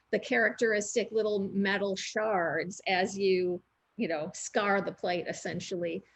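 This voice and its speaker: A woman co-hosting a museum talk over a video call, explaining art techniques in a chatty, thinking-aloud way. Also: medium pitch. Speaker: museum co-host. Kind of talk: conversational art discussion.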